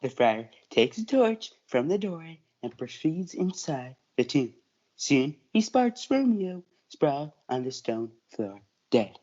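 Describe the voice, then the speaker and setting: Casting: female narrator, very low and mellow, animated intonation, school play script, lo-fi sound. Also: a little slow. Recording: dull lo-fi audio.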